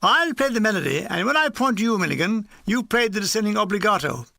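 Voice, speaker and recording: A man's voice: high-pitched, animated, fast, gravelly, bright, forceful tone, no echo, clean studio sound, full character voice. A man voicing a pompous, officious British army major in a comic war memoir. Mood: self-satisfied.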